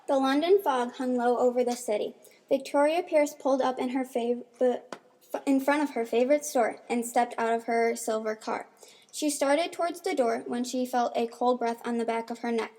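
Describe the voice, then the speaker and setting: A woman's voice: fairly high pitch, moderate pace, warm tone. A woman reading scripted narration for an amateur radio mystery.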